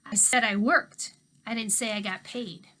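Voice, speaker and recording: A woman's voice: a little high, steady tone, unhurried, bright, forceful tone. A woman voicing an easygoing old drifter man who talks in a plainspoken, drawling country way, in a storybook read-aloud. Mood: folksy.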